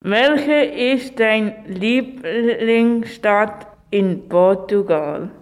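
Interviewer, slow pace, simple questions, long reverb. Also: medium pitch.

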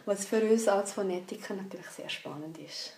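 A woman's voice: medium pitch, normal speed, gravelly, a little mellow. A woman with a Swiss German accent explaining things off the cuff as a researcher in an interview. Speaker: phonetics researcher being interviewed. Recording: echoey room, faint background noise.

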